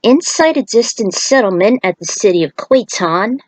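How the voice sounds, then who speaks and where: A woman's voice: medium pitch, expressive, normal speed, darkish tone, dry recording, rough recording. A woman narrating a scripted fantasy story.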